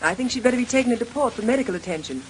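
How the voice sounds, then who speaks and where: A woman's voice: fairly high pitch, animated, racing, lightly acted. An actress playing a composed, polite lady detective who questions people in a controlled way, in an old radio mystery. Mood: calm, courteous. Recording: noisy old radio drama recording.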